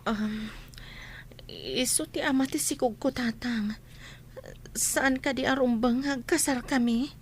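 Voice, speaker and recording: A woman plays a timid young village daughter in a radio drama. She speaks slowly in a soft, high, pleading voice over faint noise. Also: expressive. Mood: meek, pleading. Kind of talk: Ilocano comedy radio drama acting.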